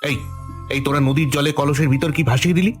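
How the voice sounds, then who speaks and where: A man's voice: on the high side, fairly even intonation, brisk, faint music behind, natural voice. A man playing the headman's menacing, obedient guard in a cartoon, talking in an officious, bullying way. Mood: threatening. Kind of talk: Bengali cartoon voice acting.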